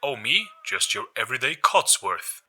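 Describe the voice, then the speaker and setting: An old British gentleman's voice speaks from a magical gramophone, acted by a man. He is genteel, eagerly polite and joyful, pitched well up in a slightly husky voice over storybook music. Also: very animated, moderate pace, heavily acted. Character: welcoming older British man's voice inside a magical gramophone.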